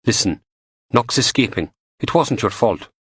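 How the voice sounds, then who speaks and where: A man's voice: medium pitch, expressive, measured pace, fairly bright tone, breathy edge, no echo, clean studio sound, voice pushed up. A man voicing a cheeky, teasing young goth woman who works in forensics. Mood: wry.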